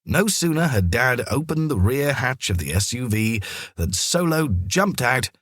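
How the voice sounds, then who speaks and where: A man narrating a children's story in an expressive, scripted storytelling style. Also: medium pitch, unhurried, slightly rough, no echo, studio quality.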